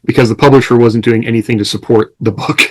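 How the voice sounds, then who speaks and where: Regular mid-pitched guy on a podcast, explaining stuff and giving casual advice. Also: fairly even intonation, fast, low-quality recording. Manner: conversational, explanatory. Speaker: podcast co-host and author.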